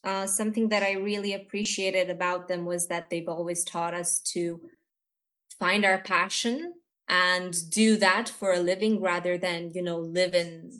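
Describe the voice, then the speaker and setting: A woman's voice: lowish voice, fairly bright tone. A woman from Romania, talking reflectively and hesitantly as a guest on a podcast. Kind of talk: reflective personal talk.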